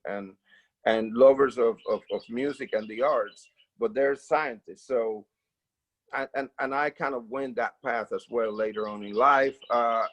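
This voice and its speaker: A man from the Dominican Republic with a Dominican Spanish accent, answering casually as an interview guest, haltingly, with many fillers and repeated words. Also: medium pitch, poor audio. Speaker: interview guest, percussion educator.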